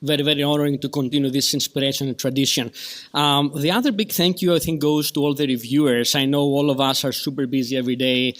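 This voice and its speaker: A man's voice: medium pitch, fairly even intonation, fast talker, a bit bright. A man with a Greek accent chairing a conference session, giving a long, effusive introduction full of fillers and restarts. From Greece. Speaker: session chair at a scientific meeting.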